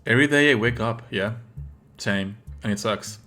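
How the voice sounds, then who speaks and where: A man's voice: lowish voice, normal speed, pushed and bright. A man whose native language is Spanish, giving sardonic, exasperated live commentary as he streams a game. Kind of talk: live let's play commentary.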